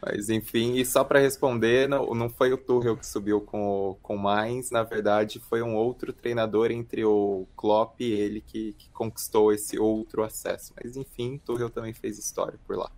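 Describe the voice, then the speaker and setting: A Brazilian man on a podcast panel, calmly correcting a football fact before signing off. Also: on the low side. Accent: Brazilian Portuguese. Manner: measured, correcting facts. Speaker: podcast co-host. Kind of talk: casual chat.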